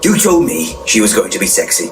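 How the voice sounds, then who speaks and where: An actor playing a crude, petulant, complaining paranormal investigator's sidekick in a comic audio drama. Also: male voice, lowish voice, huge pitch swings, brisk. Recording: distant from mic, noisy background.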